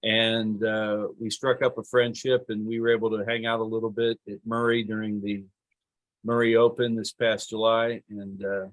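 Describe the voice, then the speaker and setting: A man hosting a casual podcast interview, relaxed and conversational as he asks questions. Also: fairly low pitch, moderate pace, low-quality recording. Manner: relaxed and conversational, with fillers and restarts. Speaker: podcast host.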